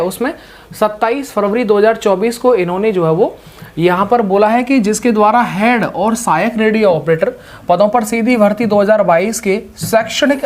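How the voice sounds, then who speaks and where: A woman speaks at a middle pitch, hosting an informal update. She addresses viewers directly, circling back over her points and adding small check-in phrases as she explains. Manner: repetitive, explanatory, direct address with verbal tags. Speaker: host of exam update videos.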